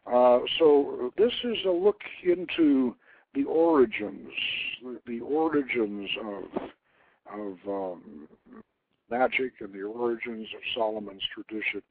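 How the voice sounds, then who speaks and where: A man hosting a radio show, explaining things informally in a halting way, repeating and rephrasing as he goes. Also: medium pitch. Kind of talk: informal explanatory talk, taking calls. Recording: narrow phone-like internet radio line.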